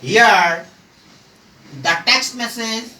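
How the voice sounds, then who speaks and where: Casting: grammar teacher, extremely slow and halting delivery, bright forceful voice, echoey room recording. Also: medium pitch. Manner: halting, short phrases, asks and answers own questions. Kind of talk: step-by-step grammar lesson. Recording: echoey room, far from the mic.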